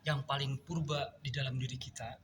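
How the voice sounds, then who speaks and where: A man's voice: mid-range voice, level tone, moderate pace, fairly bright tone, a little hiss or hum. A man on a discussion panel, reasoning through his idea step by step like a lecture.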